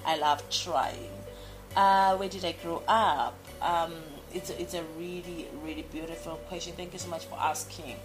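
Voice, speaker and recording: A woman's voice: on the low side, steady tone, moderate pace, poor audio. Bubbly rambling woman with music behind. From Zimbabwe, raised in South Africa. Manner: bubbly, rambling, many fillers and restarts. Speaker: radio personality, podcast guest. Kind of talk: casual interview answers.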